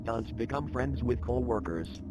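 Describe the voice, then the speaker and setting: A man plays a stern, impersonal narrator on an agency training tape in a horror game. The instructions are formal and clipped, delivered as scripted narration. Clear noise and ambience run behind the voice. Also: mid-range voice, lively intonation, a little slow, natural voice.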